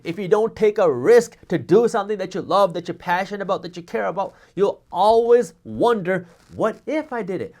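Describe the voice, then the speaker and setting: Man, high-pitched voice, native Punjabi speaker, emphatic, motivational, finance vlog. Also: darkish tone. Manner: emphatic, repetitive motivational delivery. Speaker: finance YouTuber. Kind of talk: direct-to-camera advice.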